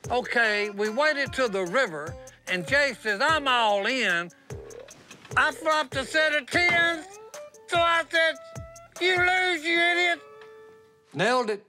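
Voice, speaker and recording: High-voiced excitable older man. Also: takes it slowly, pauses often, soft background music. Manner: excitable, rambling storyteller. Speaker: reality show cast member. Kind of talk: casual banter.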